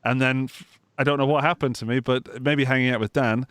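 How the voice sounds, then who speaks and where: A man's voice: mid-range voice, fairly even intonation, brisk, fairly bright tone, smooth, no room sound. A man from England with a Gloucestershire accent, hosting a live guitar podcast over a video call in a chatty, jokey way with many restarts. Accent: English, Gloucestershire. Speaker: podcast host. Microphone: studio microphone on a video call.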